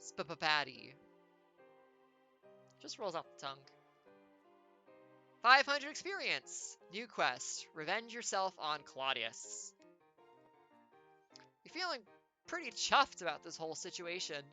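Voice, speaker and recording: A woman's voice: lowish voice, big pitch swings, slow, pauses often, sharp tone, soft background music. A woman streamer reading a choose-your-own-adventure game aloud, with playful, wry jokey asides.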